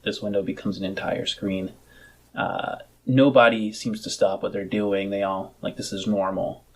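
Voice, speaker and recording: A man narrating a tabletop role-playing game as game master, deliberately pausing between short phrases. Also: on the low side, little pitch movement.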